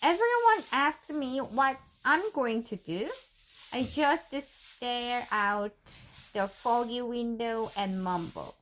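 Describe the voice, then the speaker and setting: Mom reading bedtime story at home, playful, voice jumps all over. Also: female voice, fairly high pitch, slow, a little hiss or hum, low-quality recording. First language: Korean. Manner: animated, playful reading with giggles. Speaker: mother reading to her child. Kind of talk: bedtime storybook read-aloud.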